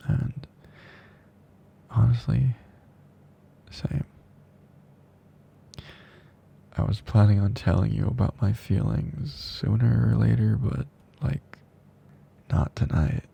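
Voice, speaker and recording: A tender, teasing male best friend played by a man in an intimate ASMR audio roleplay. He speaks slowly and softly, in a mellow, breathy voice that slips toward a whisper. A faint low rumble sits behind him. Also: heavily acted. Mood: relieved, teasing. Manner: soft, breathy, slow, drifting into whispers.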